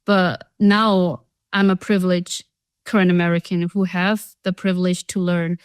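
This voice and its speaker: A woman's voice: lowish voice, level tone, measured pace, smooth, dry recording, studio quality. A young woman from Thailand, a social enterprise founder, telling her story earnestly and chattily as an interview guest. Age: young adult. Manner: earnest, chatty, many "you know" and "like" fillers.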